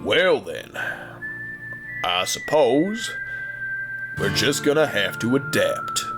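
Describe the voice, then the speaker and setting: A man's voice: medium pitch, sing-song, slow. A man voicing a tough, stubborn workhorse in an audio drama, speaking in blunt, folksy slang, unsentimental, with music behind. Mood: wary, serious.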